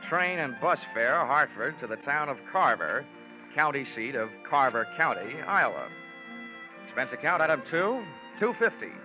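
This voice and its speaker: An actor playing a cocky, wisecracking American insurance investigator, wry and theatrical, in a 1940s radio detective drama. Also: male voice, mid-range voice, very animated, moderate pace, music in the background, lightly acted. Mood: wry, deadpan. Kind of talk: 1940s radio acting, first-person detective narration. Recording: dull old radio recording.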